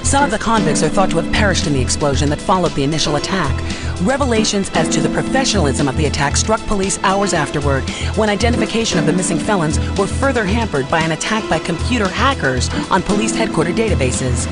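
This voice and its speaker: An actress playing a serious news reporter reading a formal scripted bulletin in a video game. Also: female voice, fairly low pitch, expressive, fast, over loud music, natural voice. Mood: grave, formal.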